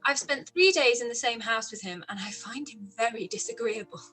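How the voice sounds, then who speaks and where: Actress as a spirited young lady, candid, high bright voice, bit husky, period radio play. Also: brisk, soft background music, low-quality recording. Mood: indignant. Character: spirited, outspoken young gentlewoman, quick to judge. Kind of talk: live-read period radio play acting.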